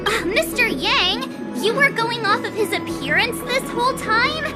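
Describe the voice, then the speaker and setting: A super high bouncy game girl, cheeky and excitable, swoopy voice. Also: unhurried. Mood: scolding. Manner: exclamatory, teasing. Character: perky, cheeky, excitable young girl adventurer. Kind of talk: English video game voice acting. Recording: studio voice with loud music behind.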